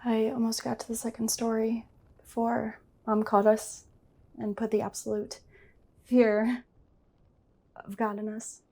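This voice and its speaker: A woman's voice: a little high, level tone, measured pace, vocal fry, pauses often, dark tone. A woman acting a hurt, sardonic younger sister in naturalistic drama. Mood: wistful. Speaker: actor.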